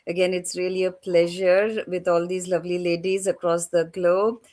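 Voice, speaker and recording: A woman's voice: on the low side, little pitch movement, moderate pace, darkish tone. A woman from Mumbai with an Indian accent, introducing herself as a panel guest in a polite manner. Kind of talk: casual self-introduction.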